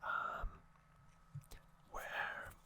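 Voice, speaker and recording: An ASMR host whispering a conversational recap in a breathy, unvoiced, hushed voice. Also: extremely slow, slight background noise.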